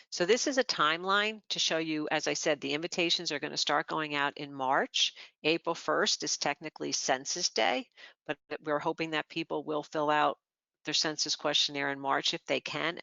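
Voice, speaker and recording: Presenter going thru slides one by one, smooth clear voice, bit muffled lo-fi webinar sound. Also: medium pitch, no echo. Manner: steady, explanatory, walks through each slide point by point. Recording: slightly dull lo-fi webinar audio.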